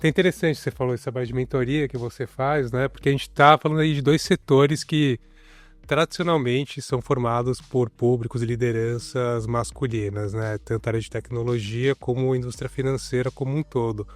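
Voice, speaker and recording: A man's voice: medium pitch, fairly even intonation, a little fast, dry recording. A man moderating an executive panel, conversational and leaning on tag questions.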